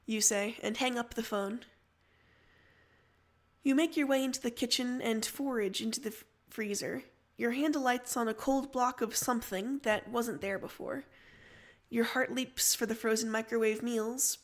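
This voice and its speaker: A woman's voice: a little high, steady tone, normal speed. A woman reading scripted story narration as the narrator.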